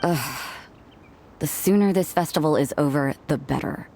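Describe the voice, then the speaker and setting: A woman's voice: sits low, moderate pace, a little mellow, slight background noise. A woman voicing an aloof, laid-back young woman in a video game, speaking in a languid, dry, matter-of-fact way. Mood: weary, grumbling. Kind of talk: video game character dialogue.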